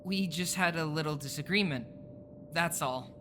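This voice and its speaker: A man's voice: fairly high pitch, normal speed, bright, forceful tone, a little hiss or hum. A man playing an anxious, guilt-ridden teenage creature boy in an audio drama, hesitant and evasive.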